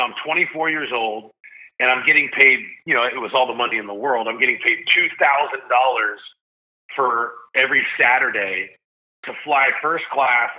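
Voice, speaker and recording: Chatty man on a tinny line. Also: mid-range voice. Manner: energetic, chatty, says "dude" and "like" often. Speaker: podcast guest, sports announcer. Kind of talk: casual storytelling interview. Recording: narrow phone-like sound.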